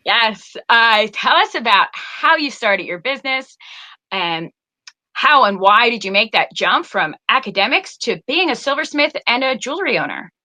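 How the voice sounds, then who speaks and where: A woman's voice: on the high side, lively intonation, normal speed, sharp tone. A woman guest-hosting an interview show, introducing her guest in a friendly way with lots of fillers. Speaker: guest host.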